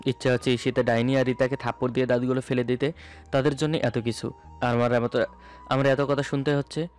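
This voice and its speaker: Male storyteller, slightly low-pitched, first-person dramatic narration with music underneath. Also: little pitch movement, brisk, darkish tone. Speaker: narrator of a romantic audio story. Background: background music.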